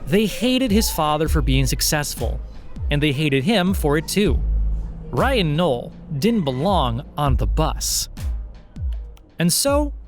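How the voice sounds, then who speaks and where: Higher-voiced man narrating a wry story. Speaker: narrator of an audio fiction podcast. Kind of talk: scripted satirical story narration.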